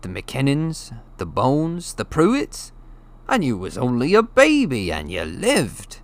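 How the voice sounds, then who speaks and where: A man voicing a big, gruff but kindly giant in an audiobook, with folksy, ungrammatical and emphatic speech. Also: mid-range voice, huge pitch swings, slow, pitched above the performer's own voice, full character voice. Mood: grave, intense. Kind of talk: audiobook character voice.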